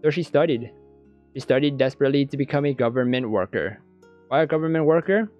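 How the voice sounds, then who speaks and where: A man with a medium-pitched voice narrates a visual novel for a gaming video. His own asides come out halting and stammered. The audio is a little lo-fi, with soft guitar music behind him. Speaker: gaming YouTuber reading a visual novel aloud. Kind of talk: let's play narration with off-the-cuff reactions. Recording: dull lo-fi audio. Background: guitar background music.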